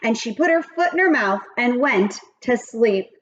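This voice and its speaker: A woman reading a children's story aloud with expressive, deliberate and emphatic phrasing. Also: on the high side, highs cut off, a little hiss or hum.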